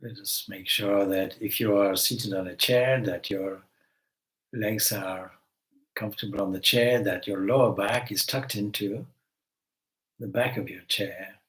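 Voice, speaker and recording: Very slow-speaking man guiding meditation. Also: lowish voice, fairly even intonation, low-quality recording. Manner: gentle instructional phrasing with long pauses. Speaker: swami leading guided meditation. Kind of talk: guided meditation.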